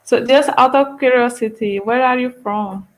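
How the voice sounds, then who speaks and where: A woman from Brazil, co-organizing an online event on a video call, cheerful and quick to laugh. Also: a little high, poor audio.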